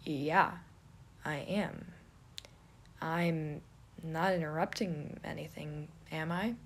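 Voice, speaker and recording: A woman voicing a guarded, weary younger man with a dry, low-key manner in an audio story. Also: fairly even intonation, slow pace, a little hiss or hum. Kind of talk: fan fiction audio story character.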